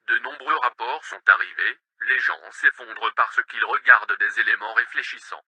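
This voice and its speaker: A man's voice: lowish voice, fairly quick, sharp tone, no echo. A man's synthetic-sounding voice formally reading a scripted emergency broadcast announcement.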